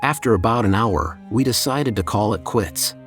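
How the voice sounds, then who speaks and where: Guy with a lowish voice reading a creepypasta, measured storytelling, music playing behind him. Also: lively intonation. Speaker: horror story narrator. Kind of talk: scripted first-person creepypasta narration. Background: background music.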